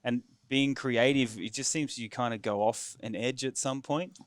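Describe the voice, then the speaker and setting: A man, a comedian guest on a radio talk show, musing in a relaxed way. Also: mid-range voice, moderate pace, no room sound. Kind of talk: casual interview chat. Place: radio studio.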